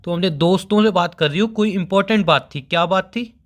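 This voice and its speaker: A man's voice: high voice, fast talker, close to an everyday voice. A young man playing a hurt, sulky boyfriend in an everyday phone-call drama, sarcastic and reproachful. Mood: accusing, frustrated.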